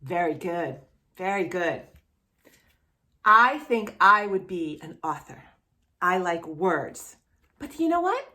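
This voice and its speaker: Very animated, slow-paced woman storyteller for kids. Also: lowish voice. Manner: animated, addresses the young listener with questions. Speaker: children's storytime host reading a picture book aloud. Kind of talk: read-aloud storytime for young children.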